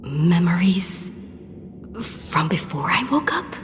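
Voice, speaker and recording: A woman's voice: lowish voice, big pitch swings, slow pace. A woman playing a bewildered young woman in a video game, hesitant and nearly whispering, in long reverb. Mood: confused, hesitant. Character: bewildered young woman lost in her memories. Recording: long reverb, music behind.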